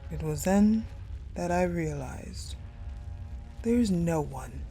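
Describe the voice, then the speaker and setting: A haunted, vengeful ice general played by a woman delivers a dark audio roleplay monologue. Her voice is mellow and relaxed in tone, breathy and often close to a whisper. She speaks slowly and haltingly, with faint music behind her. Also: lowish voice. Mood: bitter grief. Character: wounded, vengeful ice-powered woman general recounting her tragic past.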